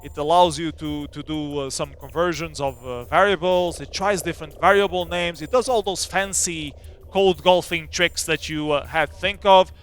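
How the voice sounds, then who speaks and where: A man's voice: fairly high pitch, fairly even intonation, normal speed, fairly bright tone, clear, resonant tone, faint music behind. A man presenting a casual technical seminar in a loose, filler-heavy, informal way. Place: seminar room at a demo party.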